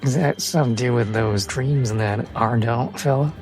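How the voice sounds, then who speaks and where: A man's voice: mid-range voice, normal speed, a little mellow, faint music behind, natural voice. A man voicing a skeptical, folksy male traveler who asks pointed questions in a tabletop game. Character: Harlan, skeptical gruff male traveling companion.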